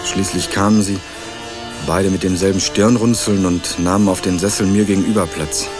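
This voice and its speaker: An actor playing an earnest young male student investigator in a radio crime drama, narrating and questioning in a measured, dry way. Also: sits low, fairly even intonation, music in the background, lightly acted. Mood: measured narration. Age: young adult. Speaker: narrator and lead character.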